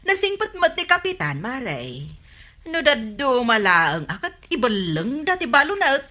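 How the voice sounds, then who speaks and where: A woman's voice: high-pitched, huge pitch swings, a little slow, pushed and bright, heavily acted. A woman playing a sympathetic, gossiping village friend in an Ilocano comedy radio drama.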